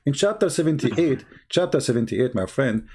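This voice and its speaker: A man's voice: mid-range voice, lively intonation, moderate pace, a little mellow, husky. A man hosting a heated call-in debate, mocking and pressing the same question again and again. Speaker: debate host.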